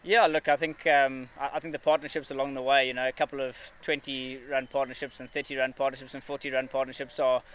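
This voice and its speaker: A man in his thirties answering questions after a match, measured and steady, with frequent fillers and restarts. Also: mid-range voice, clear, resonant tone. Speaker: cricket player at a press conference. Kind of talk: post-match press interview. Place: outdoor press area with a backdrop.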